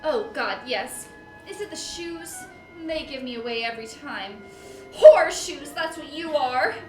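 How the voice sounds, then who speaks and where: Woman playing a high-voiced, flighty, vain film starlet. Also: lively intonation, measured pace. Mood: coy, dramatic. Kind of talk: comic noir audio drama acting. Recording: roomy echo, music underneath.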